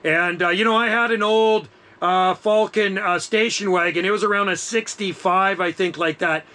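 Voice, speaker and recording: A man in his fifties with a high, bright, forceful voice chats over two-way radio. He talks casually and enthusiastically, in easygoing slang. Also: steady tone, normal speed. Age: 50s. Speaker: amateur radio operator.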